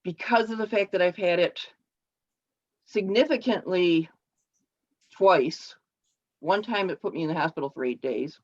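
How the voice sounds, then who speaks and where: A woman giving a personal speech to her club over a video call, halting and explanatory with frequent restarts. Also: on the low side. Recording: dull lo-fi call audio.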